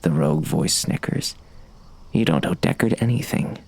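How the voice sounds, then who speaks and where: An actor playing a wry, brooding bounty hunter narrating a western audiobook in a gravelly voice. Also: male voice, sits low, animated, normal speed, darkish tone, full character voice.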